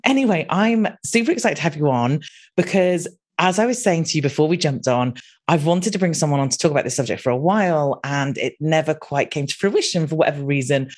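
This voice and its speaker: Woman podcast host, chatty and upbeat, slight croak in the voice. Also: low voice, fairly quick, a little mellow. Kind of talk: casual podcast monologue and interview.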